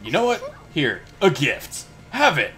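A man's voice: a little high, huge pitch swings, unhurried, sharp tone. A man with a sardonic, sweary manner, casually improvising a reluctant hero's lines over a video game. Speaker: player voicing the hero in a group game dub. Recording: game music behind the voice. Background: video game music.

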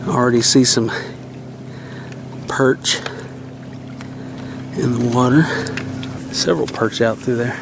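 Man vlogging outdoors over clear background noise. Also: medium pitch, steady tone, slow pace, pauses often. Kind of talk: casual outdoor vlog narration. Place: outdoors on a boat on a lake.

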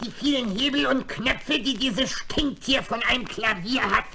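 A man's voice: extremely high pitch, unhurried, creaky, breathy edge, full character voice. A man playing a cheeky, grumbling duckling boy in a children's radio play, slangy and exaggerated. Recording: old vinyl record with loud music.

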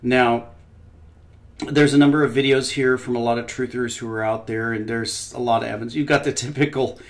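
A man hosting casual market commentary over a shared screen. He talks in a loose, conversational way, pausing often with fillers and dropping skeptical, opinionated asides. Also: medium pitch, fairly even intonation. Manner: conversational, frequent pauses and "uh" fillers. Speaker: financial commentary host.

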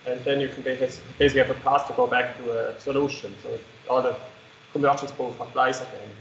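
A man's voice: mid-range voice, level tone, fairly quick, fairly bright tone. A man with a mid-range voice asks a precise technical question from the audience. The room is noticeably roomy and the sound is dull, with faint noise behind him. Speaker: audience member asking a question.

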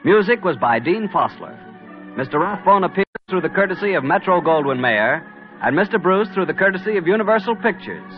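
A male announcer with a smooth, folksy, salesman-like manner, doing 1940s radio announcing. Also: sits high, sing-song, a little fast, soft background music. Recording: muffled 1940s radio broadcast.

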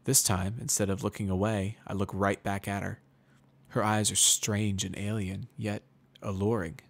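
Guy doing a dramatic story reading, kinda low voice, scripted narration. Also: little pitch movement, normal speed, vocal fry. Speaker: narrator, reader of fan fiction.

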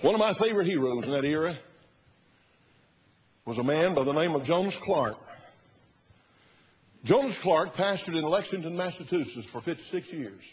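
A husky-voiced man, pitched fairly high for a man. His delivery is emphatic and oratorical. The sound is muffled, with the long reverb of a big church hall. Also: animated, moderate pace, long pauses. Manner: emphatic, oratorical, rising to loud bursts and dropping low. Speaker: pastor preaching. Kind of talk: fiery sermon. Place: large church sanctuary, at the pulpit platform.

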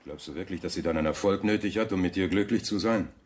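An actor playing a middle-aged, world-weary rival in a radio drama, speaking in a measured, coolly ironic manner. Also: male voice, low-pitched, darkish tone, husky. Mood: cool, probing. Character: rich older rival, coolly resolute and world-weary man. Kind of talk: German radio drama acting.

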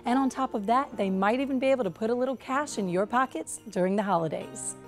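A woman's voice: a little high, quick pace, soft background music. Young woman, polished TV news reporter. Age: young adult. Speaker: TV reporter and host. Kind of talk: polished scripted broadcast narration.

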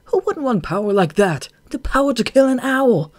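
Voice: medium pitch, unhurried, gravelly, dry recording, voice pushed up. A storybook narrator voicing a frightened, guilt-ridden young male bat with urgent, emotional outbursts. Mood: anguished.

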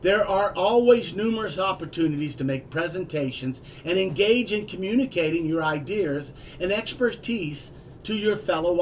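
A man's voice: high-pitched, slight background noise. An older man, a club vice president, delivering a scripted promotional dialogue with enthusiasm over a video call from a home office.

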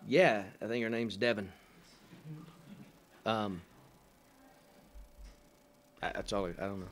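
Man, 40s, head coach, low voice, post-game press conference, table mic, stop-start talk with fillers, dry humor. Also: steady tone. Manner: halting, many fillers and restarts, dry matter-of-fact humor. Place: press room in front of a sponsor backdrop. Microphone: table microphone.

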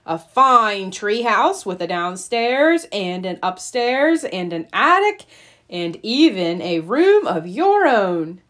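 A woman's voice: medium pitch, big pitch swings, takes it slowly, a bit bright. A woman voicing a cheerful, reassuring father bear in a children's storybook, with a hearty, emphatic manner. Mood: proud, hearty. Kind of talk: children's storybook read-aloud.

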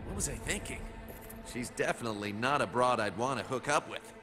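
A man's voice: mid-range voice, lively intonation, a little fast, over music, natural voice. An actor playing a brash, crude, cocky male assassin in a video game, swaggering, sarcastic and slangy. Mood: cocky, sardonic. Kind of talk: video game cutscene acting.

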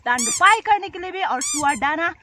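A woman with a high voice talks casually to her viewers as a village vlogger, circling back over her phrases. She is recorded on a phone out in the open, with faint noise behind her. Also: huge pitch swings, normal speed, pushed and bright. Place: outdoors in open rice fields and village. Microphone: phone, at arm's length or set up nearby. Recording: outdoor phone audio with light noise.

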